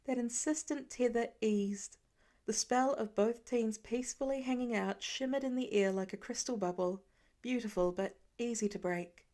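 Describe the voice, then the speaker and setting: A woman's voice: fairly high pitch, normal speed, dark tone. A woman reading a scripted story aloud as an audiobook narrator. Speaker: fan fiction narrator.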